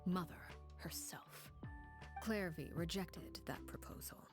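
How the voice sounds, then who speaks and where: An actress voicing a composed, grave woman who recounts a dark past in a measured, solemn video game performance. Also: on the low side, whispered. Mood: somber. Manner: measured, solemn, deliberate phrasing. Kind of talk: dramatic video game narration. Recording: loud game music behind the voice.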